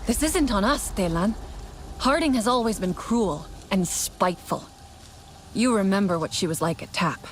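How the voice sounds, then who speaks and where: Young warrior woman played by an actress, steady and caring, mid-range voice, game dialogue, noise behind. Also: mid-range voice, normal speed, natural voice. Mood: firm. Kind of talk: video game voice acting.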